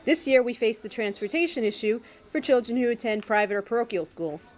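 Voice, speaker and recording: Soft-spoken woman reading a statement. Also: a little high, animated, brisk, soft background music. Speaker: reader of a candidate's statement. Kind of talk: reading a prepared statement aloud.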